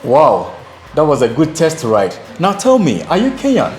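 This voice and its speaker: A man's voice: on the high side, huge pitch swings, moderate pace, faint music behind. A man from Ghana hosting an interview in a vehicle showroom, asking questions with a lapel mic. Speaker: host. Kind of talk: interview and voice-over intro. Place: showroom with electric bikes.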